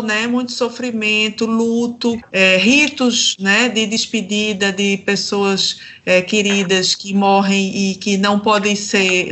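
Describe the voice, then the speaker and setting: A woman's voice: medium pitch, fairly bright tone. A Brazilian woman hosting a radio interview, feeling her way through questions with some hesitation. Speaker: radio show host interviewing guests.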